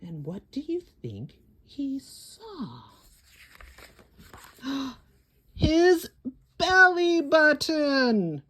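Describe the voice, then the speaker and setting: A woman hosting a children's story time, reading a picture book aloud in a theatrical, deliberate way with exclamations. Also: sits high, sing-song, a bit bright. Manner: slow, deliberate phrasing for young children. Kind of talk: animated read-aloud picture book storytelling with sung refrains.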